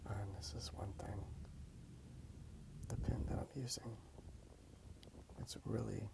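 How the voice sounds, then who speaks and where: A man speaks in a half-whispered ASMR murmur with heavy vocal fry. He rambles hesitantly, often trailing off, as he hosts a casual drawing video outdoors on a handheld phone. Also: on the low side, little pitch movement, slow pace. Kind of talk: soft-spoken ASMR rambling. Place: outdoors in a park.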